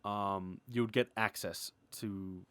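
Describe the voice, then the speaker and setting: A man giving a casual, unscripted creator update, rambling and excitable, starting and stopping his phrases as he goes. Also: lowish voice, slow pace, clean tone, no room sound. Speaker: animator and web series creator giving an update.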